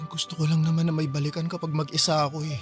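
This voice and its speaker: A man's voice: on the high side, level tone, quick pace, soft background music, close to an everyday voice. A man playing an obsessive, excuse-making young boyfriend in a Filipino radio drama. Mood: alarmed, defensive.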